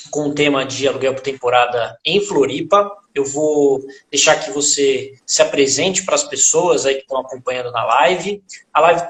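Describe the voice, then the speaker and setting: A man's voice: medium pitch, fairly bright tone, roomy sound. A man hosting a casual live interview over a video call, chatty and friendly, full of tag questions. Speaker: host of a live stream.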